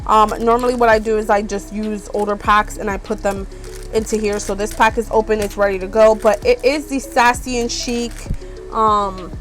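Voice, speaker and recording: A woman's voice: medium pitch, little pitch movement, moderate pace, soft background music. A woman beauty vlogger with a stuffed-up, congested voice, chatting casually and rambling with lots of ums while she shows her purchases. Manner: chatty, rambling, many ums and "you guys". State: stuffed up from allergies. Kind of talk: casual haul chat. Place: small RV room.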